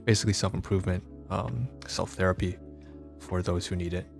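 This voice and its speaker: A man's voice: low voice, fairly even intonation, a little fast, creaky, faint music behind. A man streaming a casual vlog, rambling with lots of fillers and restarts, sounding congested. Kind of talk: casual livestream vlog talk. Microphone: phone.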